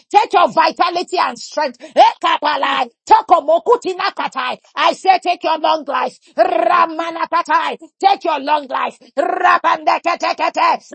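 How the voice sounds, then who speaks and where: A woman leading fervent shouted prayer, chanting repeated declarations in a rhythmic cadence and breaking into speaking in tongues. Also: extremely high pitch, gravelly, no echo. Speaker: prayer leader.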